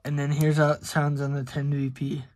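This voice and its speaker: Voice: mid-range voice, completely flat delivery, normal speed, a little mellow. A person giving a casual, hands-on gadget review as a co-host, explaining things conversationally and asking questions. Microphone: phone filming close by. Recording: noisy amateur phone recording with static.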